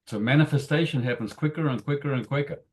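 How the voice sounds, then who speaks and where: A man's voice: mid-range voice, fast, a little mellow. A man speaking emphatically in a spiritual group discussion, repeating phrases for effect.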